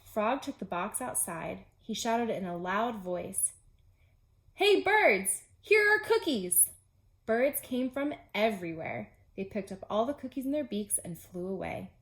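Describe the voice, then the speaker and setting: A woman's voice: medium pitch, expressive, normal speed, hardly any pauses. A woman teacher narrating a children's storybook aloud, with simple phrasing aimed at young children. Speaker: pre-K teacher reading aloud.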